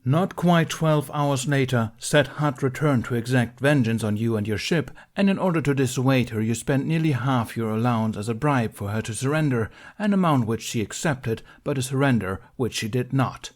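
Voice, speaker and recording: A man's voice: medium pitch, lively intonation, moderate pace, few pauses, voice pushed up, lightly acted. A man voicing a stern, exasperated council master in an audiobook, formally interrogating in a businesslike, accusing way. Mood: frustrated. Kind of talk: audiobook character dialogue.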